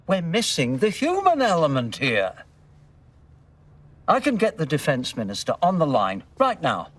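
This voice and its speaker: A man's voice: fairly high pitch, huge pitch swings, measured pace, a bit bright, recorded from a distance, heavily acted. Brief: wary male commissioner, objecting, off mic. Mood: concerned. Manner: polite, objecting. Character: cautious male police commissioner.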